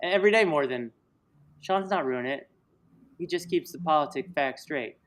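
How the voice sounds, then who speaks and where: Jokey high-voiced man ranting on a livestream. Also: animated, normal speed. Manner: jokey, rambling, puts on silly voices. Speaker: livestream host. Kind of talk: casual livestream banter.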